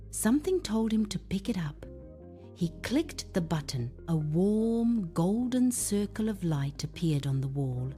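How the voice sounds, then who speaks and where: A woman narrates a soothing children's bedtime story with a mellow, relaxed tone. She takes her time, letting the pitch rise and fall expressively in a gentle, hushed delivery. Music plays beneath her voice.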